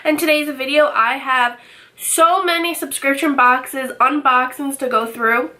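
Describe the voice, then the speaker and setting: A young woman vlogging from her bedroom, chatty and upbeat, her voice a little raspy from a cold. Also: sits high, fairly even intonation, unhurried, bright, forceful tone. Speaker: beauty vlogger. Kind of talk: casual unboxing chat. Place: bedroom, indoors.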